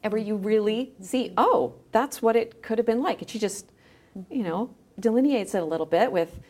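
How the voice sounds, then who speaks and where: Woman, mid-pitched voice, conversational podcast guest, thoughtful and halting. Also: darkish tone. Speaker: podcast guest, journal editor. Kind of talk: casual discussion.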